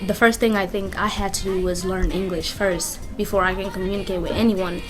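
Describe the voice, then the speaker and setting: A woman's voice: medium pitch, fairly even intonation, brisk, poor audio. A woman from Kenya speaking candidly in an interview, often using fillers like "you know" and "like.". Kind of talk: personal interview narrative. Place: community youth center. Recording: music bed under interview. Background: music playing underneath.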